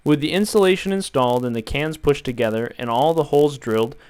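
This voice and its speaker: A young man calmly walking viewers through a build, step by step, in an instructional voice-over. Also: medium pitch, quick pace, smooth. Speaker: DIY tutorial maker.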